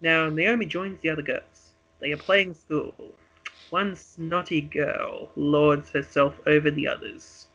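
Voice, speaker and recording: A man's voice: fairly high pitch, fairly even intonation, takes it slowly, sharp tone, lo-fi sound. A man reading a script aloud as narrator of a homemade radio play, with dramatic storytelling delivery. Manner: dramatic present-tense storytelling.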